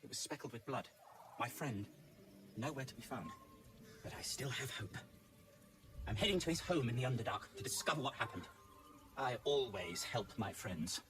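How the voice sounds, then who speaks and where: Guy as loyal courtly adventurer, formal theatrical game acting, middling pitch, faint noise. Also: expressive, moderate pace, pauses often, natural voice. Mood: somber, resolute. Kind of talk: video game character acting.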